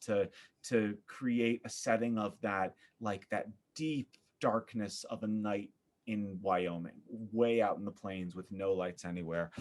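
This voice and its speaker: A man speaking conversationally as a podcast guest about theater, thoughtful and halting, often repeating words as he searches for phrasing. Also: lowish voice.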